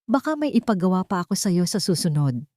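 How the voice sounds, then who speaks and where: A woman's voice: on the low side, sing-song, a little fast, dark tone, dry recording, clean studio sound. A woman with a Filipino accent voicing a serious, protective wealthy husband who speaks directly, in a narrated story. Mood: curious. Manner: direct, questioning.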